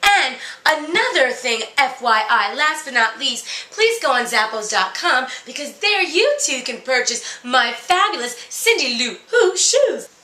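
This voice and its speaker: A woman's voice: sits high, big pitch swings, unhurried, few pauses, bright, forceful tone, exaggerated voice. A woman voicing a brash, vain, fed-up fairy-tale princess in a comedy mock interview, gossipy and crude with chatty asides. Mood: sassy, preachy. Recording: roomy, echoey sound.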